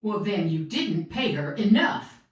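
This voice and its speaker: A woman playing a cold, scheming conspirator in a video game, speaking in a deliberate, threatening and accusatory way. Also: mid-range voice, animated, natural voice. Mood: menacing. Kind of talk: video game dramatic dialogue. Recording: distant, heavily echoing voice.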